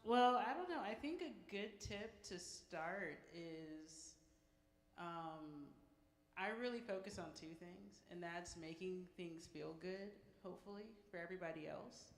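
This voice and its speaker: A woman's voice: on the low side, unhurried. A woman, a band musician, giving casual unscripted advice to an audience. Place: indoor museum performance space. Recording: echoey room, live event microphone.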